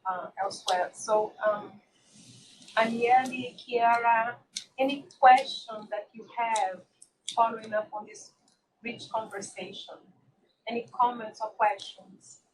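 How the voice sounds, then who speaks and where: A woman's voice: a little high, fairly even intonation, measured pace. A woman moderating an informal discussion, politely inviting questions. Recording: distant mic with faint noise.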